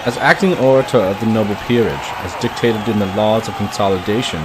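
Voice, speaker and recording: An actor playing a solemn count who presides over an assembly of nobles with formal, ceremonial oratory in a fantasy audio drama. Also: male voice, on the low side, quick pace, lightly acted. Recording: noisy crowd behind the voice. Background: assembly crowd murmur.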